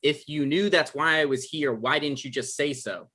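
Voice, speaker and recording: A man's voice: a little high, normal speed, pushed and bright. A man acts out an awkward male novelist in his thirties. He is evasive and apologetic, with hesitant, stammering delivery. The comedy is played naturally, as in a table read. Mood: irritated. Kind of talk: naturalistic comedic dialogue reading. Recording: online live-stream table read.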